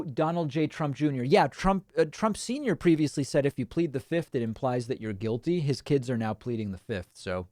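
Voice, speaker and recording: A man's voice: a little high, dark tone, no echo, studio quality. A man from Argentina, a native Spanish speaker, hosting a political livestream and casually reading viewer comments aloud with frequent ums and uhs.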